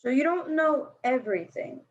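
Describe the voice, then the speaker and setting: Brash teen skater girl, played by a woman, high and raspy, sarcastic, stage reading, muffled recording. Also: lively intonation, moderate pace. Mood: guarded, curious. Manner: blunt, slangy, sarcastic. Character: brash fourteen-year-old girl skateboarder, slangy, defensive, struggling. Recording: dull lo-fi recording.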